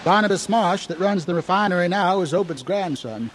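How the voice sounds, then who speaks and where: High-pitched, crazed old New townsman. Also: animated, brisk, a little hiss or hum. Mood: conspiratorial, grim. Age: older. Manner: rambling folksy dialect, agitated. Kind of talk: audio horror drama acting.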